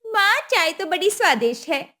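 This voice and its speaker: A woman's voice: very high voice, sing-song, normal speed, bright, forceful tone, voice pushed up, full character voice. A woman voicing a spoiled, petty sister-in-law. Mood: sulky. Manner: sulky, demanding.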